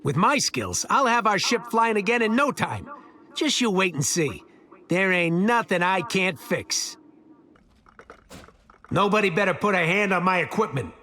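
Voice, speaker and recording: A man voicing a cocky, gruff, scrappy little creature mechanic in a video game, brash and streetwise. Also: sits high, animated, unhurried, pushed and bright, full character voice. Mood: boastful. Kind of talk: video game character voice lines.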